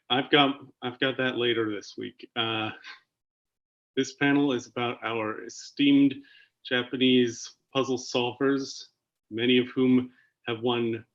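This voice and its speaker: A man hosting an online panel, talking haltingly with many fillers and restarts. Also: mid-range voice, fairly bright tone. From Canada. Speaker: panel host. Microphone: video call. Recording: muffled video call audio.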